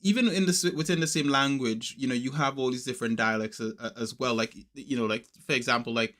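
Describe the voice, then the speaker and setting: A man from Trinidad with an English accent, co-hosting a podcast in relaxed, talkative chat with many restarts. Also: mid-range voice, quick pace. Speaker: podcast co-host. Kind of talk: casual chat.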